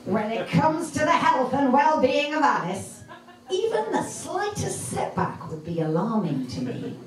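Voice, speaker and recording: A woman's voice: high-pitched, big pitch swings, unhurried, a crowd in the background, pitched above the performer's own voice. A woman voicing an anxious, reproachful elderly English grandmother, fussy and long-winded, in a stand-up routine. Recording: very echoey noisy room, distant mic.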